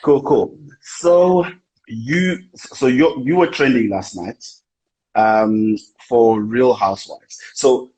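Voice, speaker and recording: A man hosting a casual live interview over a video call, chatty and quick to drop short affirmations. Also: medium pitch, darkish tone. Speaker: magazine online editor and interview host. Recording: echoey room.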